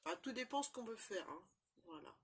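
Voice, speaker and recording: A woman with a cold, chatting casually through a crafting demonstration, rambling and filling pauses often. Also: a little high, animated, a little hiss or hum. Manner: chatty, rambling, constant fillers and "voilà". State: has a cold, stuffy. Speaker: crafting channel host. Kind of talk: casual show-and-tell crafting demo.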